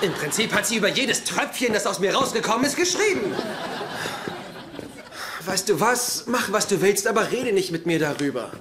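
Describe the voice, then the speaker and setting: A man's voice: sits high, lively intonation, moderate pace. An exasperated, defensive young man. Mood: embarrassed, pleading. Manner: defensive, pleading. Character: exasperated, embarrassed young man, wounded by his mother. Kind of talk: dubbed sitcom dialogue. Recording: noisy sitcom audio with background ambience.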